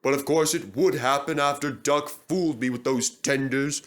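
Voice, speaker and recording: A man's voice: mid-range voice, normal speed, fairly bright tone, natural voice. A man voicing a put-upon but plucky steam engine with a sighing manner, in a storybook reading. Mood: mournful. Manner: mournful, sighing.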